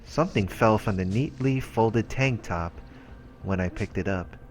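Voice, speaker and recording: A man's voice: fairly low pitch, unhurried, slight background noise. A man reading visual novel narration aloud as a let's play host, with casual asides. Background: game music.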